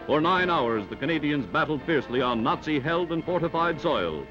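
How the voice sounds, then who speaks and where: Male newsreel announcer, American, 1940s style. Emphatic scripted read over loud music, lo-fi vintage film sound. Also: fairly high pitch, expressive, normal speed. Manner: dramatic, emphatic delivery. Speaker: newsreel narrator.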